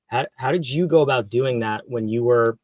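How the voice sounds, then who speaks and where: A man hosting an interview, asking questions in a relaxed, curious way with frequent restarts. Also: mid-range voice, moderate pace, no echo, highs cut off. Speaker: podcast host. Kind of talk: conversational interview.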